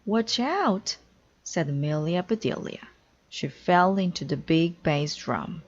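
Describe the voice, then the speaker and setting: Slow-paced woman reading a children's storybook. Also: low-pitched, muffled recording. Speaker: storybook reader. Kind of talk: children's read-aloud narration.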